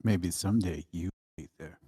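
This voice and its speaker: A woman with a husky voice playing a caring, reassuring guardian of a young boy at a screenplay table read. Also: rumbling low, normal speed, dark tone. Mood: gentle.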